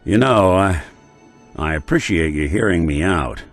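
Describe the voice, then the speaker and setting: An actor playing a world-weary male synth detective in a game, talking with a gruff, laconic noir drawl. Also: low voice, animated, measured pace, soft background music, exaggerated voice. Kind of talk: game companion dialogue.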